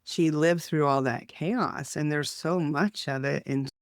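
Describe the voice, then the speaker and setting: A man's voice: a little high, a little slow, no room sound, studio quality. A man in his fifties with a Texan accent, hosting an interview in an enthusiastic, chatty way. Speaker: host.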